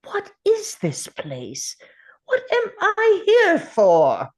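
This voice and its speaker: A woman playing an ancient, defiant, sardonic male time traveller in a dramatic script table read, speaking theatrically with deliberate pauses. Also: very high voice, huge pitch swings, exaggerated voice. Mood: brooding, uneasy.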